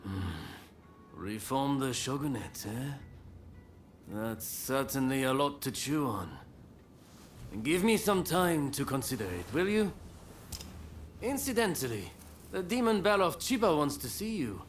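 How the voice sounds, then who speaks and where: An actor playing a wry, unhurried swordsman in a video game, with a casual, teasing, thoughtful delivery. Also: mid-range voice, very animated, soft background music. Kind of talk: video game cutscene acting.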